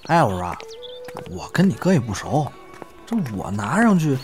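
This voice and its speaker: A man's voice: mid-range voice, very animated, moderate pace, soft background music, lightly acted. A man playing an easygoing young crosstalk performer in an audio drama, chatty and teasing. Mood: easygoing, polite. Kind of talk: Chinese audio drama acting.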